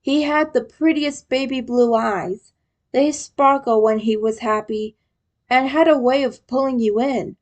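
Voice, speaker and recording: A woman's voice: sits high, measured pace. A woman reading a scripted story aloud as a narrator, addressing the listener as the main character. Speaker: fan fiction reader.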